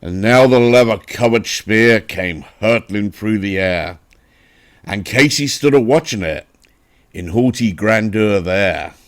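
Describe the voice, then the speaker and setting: A man with an English accent giving a dramatic, theatrical recitation of a narrative poem, with deliberate, emphatic phrasing. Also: fairly low pitch. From England. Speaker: poetry reader.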